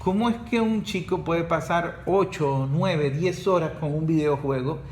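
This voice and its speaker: A man taking part in a podcast discussion, talking in an animated way and breaking in with quick asides. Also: fairly high pitch. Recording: echoey room with faint noise.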